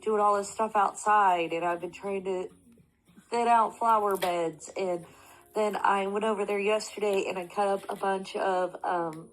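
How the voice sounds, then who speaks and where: A woman's voice: medium pitch, fairly even intonation, a little slow, rough recording. Stuffy-nosed woman in her thirties. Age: 30s. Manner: rambling, many fillers and restarts. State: stuffy from allergies. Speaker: panelist. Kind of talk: casual discussion.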